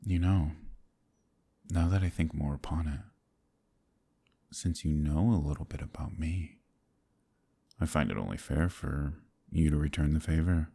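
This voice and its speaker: A man playing a gentle, troubled werewolf in a romantic fantasy ASMR roleplay, soft-spoken and hesitant, with formal old-fashioned phrasing and a deep, husky voice. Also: unhurried, long pauses, heavily acted. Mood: warm, courting.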